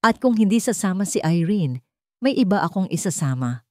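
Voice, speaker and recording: A woman's voice: lowish voice, very animated, normal speed, mellow, no echo, clean studio sound. A woman voicing a weary, secretive husband who answers curtly and evasively in an audio romance story. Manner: curt, dismissive.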